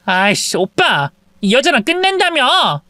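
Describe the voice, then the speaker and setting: A woman voicing a scheming young mistress who taunts and shouts in a dramatized story. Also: sing-song, a little slow, no room sound, exaggerated voice. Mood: shouting. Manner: taunting, shrill when shouting.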